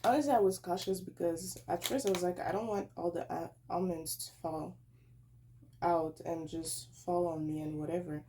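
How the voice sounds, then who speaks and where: A young woman, tired and a little hoarse, chatting casually and rambling as she taste-tests snacks at home. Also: lowish voice, fairly even intonation, moderate pace, dark tone, slight background noise. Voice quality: raspy. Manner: rambling, self-correcting, chatty. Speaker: snack reviewer vlogger. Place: at home, indoors.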